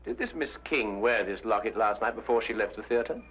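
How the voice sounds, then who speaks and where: An actor playing a courteous, composed gentleman detective who questions politely in an old-time detective drama. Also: on the low side, brisk, close to an everyday voice. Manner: polite, probing, slightly hesitant questioning. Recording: muffled old radio-era recording.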